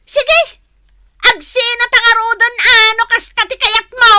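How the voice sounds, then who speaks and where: Sharp-tongued Filipino wife, radio comedy: shrill, very bright, easily offended and forever scolding. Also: female voice, fairly even intonation, a little slow, exaggerated voice. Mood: indignant. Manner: scolding, indignant. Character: quarrelsome, sharp-tongued neighbourhood wife, quick to take offence. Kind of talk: comic radio drama acting.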